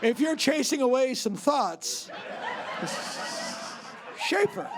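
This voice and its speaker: A man's voice: very high-pitched, animated, slow pace, a crowd in the background, background noise. A man hosting a live comedy talk show on a theater stage, rambling and giggly with mock bewilderment.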